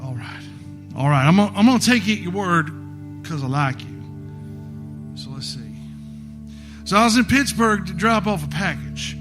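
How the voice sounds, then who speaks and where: A man's voice: high-pitched, animated, normal speed, pauses often, fairly bright tone. An actor playing a rattled, easily indignant folksy delivery man in a live audio drama, stammering and drawling out slang. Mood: wary, reluctant. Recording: live stage recording with music behind.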